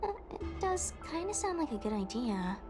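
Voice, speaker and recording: A woman voicing a brash, energetic young swordswoman in a game cutscene, blunt and a little teasing. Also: high-pitched, normal speed. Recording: game cutscene with music behind.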